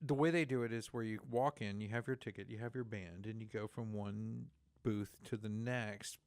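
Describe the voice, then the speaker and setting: A man's voice: fairly low pitch, fairly even intonation, normal speed, darkish tone, no room sound. A man with a Texan accent hosting a community radio talk show, chatting casually in the studio with many restarts and fillers.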